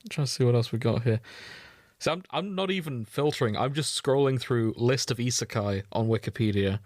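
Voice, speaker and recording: A man's voice: mid-range voice, a little fast, darkish tone, no echo, clean studio sound. A man co-hosting a podcast with casual, sweary comedic banter and mock exasperation, often reading things out. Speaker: podcast co-host.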